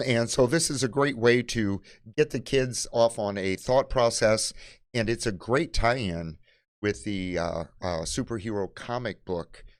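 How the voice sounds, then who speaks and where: A man giving an unscripted product presentation as a presenter of library kits, speaking in a halting way with many fillers. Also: medium pitch, dry recording, studio quality. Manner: halting, filler-heavy delivery.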